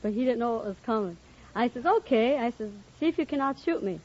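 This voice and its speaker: A woman's voice: on the high side, lively intonation, fast. A plain-spoken woman with an American accent telling an informal story as a radio quiz contestant. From United States, Wisconsin. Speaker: quiz show contestant, housewife. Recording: muffled 1950 radio recording, loud audience, noise. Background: laughing studio audience.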